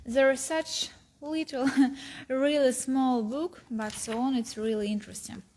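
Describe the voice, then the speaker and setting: A young woman, a university student whose first language is Russian, giving a careful, halting talk about books in English, with many pauses. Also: steady tone, a little mellow, a little hiss or hum. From Kazakhstan. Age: young adult. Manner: rises in pitch at the start of each new point. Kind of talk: recorded book talk.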